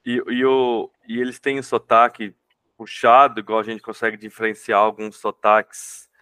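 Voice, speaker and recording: A man's voice: mid-range voice, little pitch movement, moderate pace, bright, forceful tone, clear, resonant tone, no room sound. A man from Brazil with a Brazilian Portuguese accent, hosting a podcast interview in an informal, joking way with colloquial asides. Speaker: podcast host. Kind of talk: casual interview conversation.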